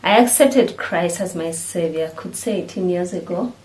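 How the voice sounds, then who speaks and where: A woman's voice: on the low side, moderate pace, darkish tone, low-quality recording. A woman in her thirties with a Ugandan accent, hosting a vlog in casual chat to the camera. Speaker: vlog host.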